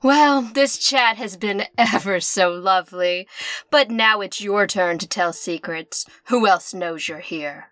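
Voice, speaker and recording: An actress playing a playful, gloating villainess in a parody radio mystery, teasing and theatrical. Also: female voice, mid-range voice, takes it slowly, fairly bright tone, dry recording. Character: playful, gloating femme fatale villainess, secretly a journalist.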